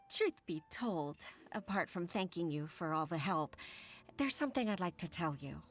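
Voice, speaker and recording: An earnest middle-aged secretary and mother with a slightly low voice. She speaks hesitantly, with frequent sighs and self-doubt. Faint game music plays behind her. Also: lively intonation, a little slow. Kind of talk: video game voice acting.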